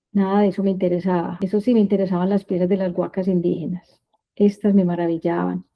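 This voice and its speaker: Husky Colombian woman in her fifties. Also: mid-range voice, highs cut off. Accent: Colombian, from Medellín. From Medellín, Colombia. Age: 50s. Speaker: speaker telling a personal life story. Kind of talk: prepared autobiographical talk.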